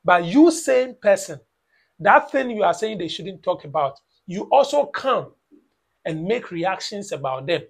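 A man's voice: sits high, sing-song, a little slow, creaky, husky. A man in his thirties with a Ghanaian English accent, talking to camera at home in a lecturing, emphatic vlog, repeating his points. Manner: lecturing, emphatic, repeats phrases, mocks with "blah blah". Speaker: YouTube reaction vlogger. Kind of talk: opinion vlog commentary. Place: small room at home, indoors.

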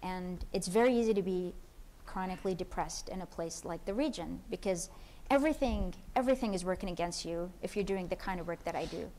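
A woman's voice: lowish voice, brisk, warm tone, slight background noise. A woman speaking on a conference panel, answering questions in an earnest, thoughtful way with frequent restarts. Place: conference hall stage.

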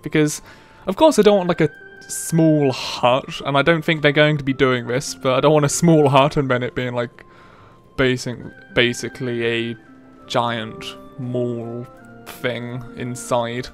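A man's voice: medium pitch, fairly even intonation, unhurried. A man giving casual commentary over a video game. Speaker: let's play commentator. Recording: game music behind the voice. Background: video game music.

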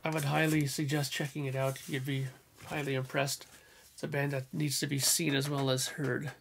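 A man's hoarse, gravelly voice. He chats enthusiastically in a small home room, with a faint hiss under the sound. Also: mid-range voice, level tone, normal speed. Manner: enthusiastic, frequent throat clearing. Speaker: record collector vlogger. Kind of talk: casual record collection walkthrough. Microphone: headphones on, webcam or desk mic.

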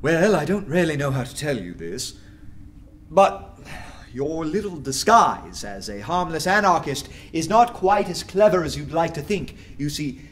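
An actor playing a bold undercover detective in a radio drama, sardonic and animated. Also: male voice, on the high side, a little slow, slight background noise. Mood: earnest, secretive. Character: wry, bold undercover detective posing as a poet. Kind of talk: full-cast radio drama acting.

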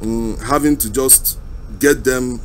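A man with a Nigerian accent giving unscripted road-trip commentary as a vlog host, haltingly, searching for words with many uhs. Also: mid-range voice, low-quality recording. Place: inside a car driving through town. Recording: steady vehicle and road noise. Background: vehicle and street noise.

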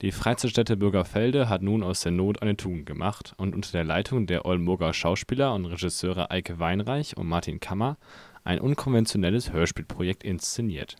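A man's voice: sits low, brisk, darkish tone, no room sound. A man reading scripted narration as a news reporter.

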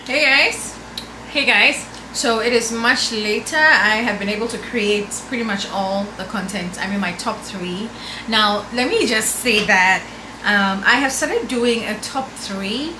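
A woman's voice: medium pitch, reverberant. A woman in her twenties chatting upbeat to her viewers in a casual vlog, filmed on a camera at arm's length at home. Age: 20s. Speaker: vlogger. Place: bedroom and home office, indoors. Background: air conditioner hum.

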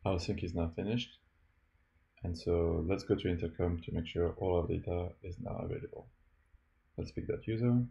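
Very gravelly, low-voiced man presenting a demo. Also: steady tone, moderate pace, mellow, dull audio. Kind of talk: scripted tutorial narration over a screen recording.

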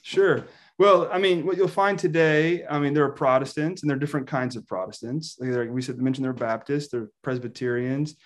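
A man in his thirties from Texas, a scholar talking as a guest on a video call, animated, laughing often and restarting his sentences. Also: mid-range voice, brisk, hardly any pauses. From Texas, United States. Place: indoor room with plain wall. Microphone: video call webcam.

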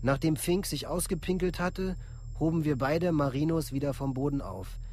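An actor playing a rough young village man who gives a plain, unemotional account in a documentary radio play. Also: a little high, normal speed, a little mellow, a little hiss or hum, natural voice. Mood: detached, blunt. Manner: matter-of-fact, plain, unemotional recounting.

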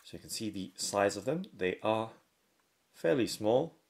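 A man giving unhurried, step-by-step product unboxing commentary indoors at a tabletop. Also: on the low side, animated, vocal fry. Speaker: product reviewer. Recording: faint handling and crinkling noise. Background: faint clicks and packaging crinkle.

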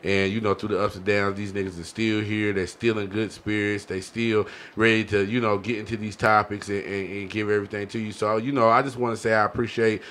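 An American man with a low voice hosts a podcast in a casual, rambling way. His delivery stays flat and even, close on a studio mic. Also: a little fast, hardly any pauses, a little hiss or hum. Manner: rambling, frequent "you know what I'm saying". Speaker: podcast host. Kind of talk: casual podcast sign-off talk. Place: small indoor podcast studio. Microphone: podcast mic on boom arm, headset.

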